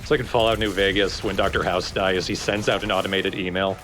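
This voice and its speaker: A man's voice: low voice, steady tone, fast. A man chatting casually as a co-commentator on a video game playthrough. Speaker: let's play co-commentator. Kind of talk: casual chat. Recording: game music behind the voice. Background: video game music.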